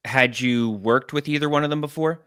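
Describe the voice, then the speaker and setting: A man's voice: medium pitch, normal speed, a bit bright, smooth, no echo, clean studio sound. A man hosting a sports media interview, asking long, loosely phrased questions. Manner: brief affirmations, rambling questions. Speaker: interviewer, podcast host. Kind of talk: conversational interview.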